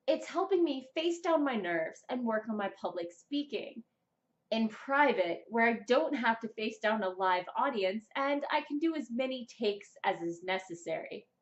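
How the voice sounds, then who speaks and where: A young adult woman presenting a scripted vlog of writing tips in an expressive, deliberate public-speaking manner. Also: on the high side, dull audio. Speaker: vlogger, writer and amateur voice actor. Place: small plain indoor room, seated.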